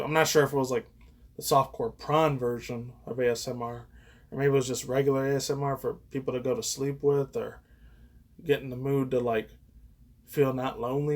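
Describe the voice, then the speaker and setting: A man in his thirties giving casual, rambling reaction commentary as a YouTuber, frank and profane. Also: medium pitch. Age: 30s. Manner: crude, frank, profane, chuckling asides.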